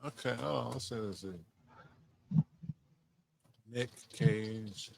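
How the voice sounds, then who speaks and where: A man's voice: on the low side, slow, vocal fry, slight background noise. A man co-hosting a casual podcast, swearing easily and repeating words as he reacts and gives opinions. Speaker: podcast co-host. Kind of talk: casual chat about video game movies.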